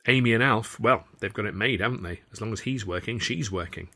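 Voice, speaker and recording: A man's voice: on the low side, brisk, bright, forceful tone. A man voicing a young woman performer in an audiobook, chatty and earnest, with a firm, guarded way of talking.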